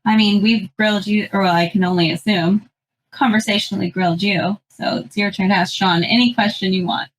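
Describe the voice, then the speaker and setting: A woman's voice: mid-range voice, fairly even intonation, a little fast, poor audio. A woman co-hosting a podcast, asking a guest questions in an easygoing, curious way. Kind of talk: casual interview chat.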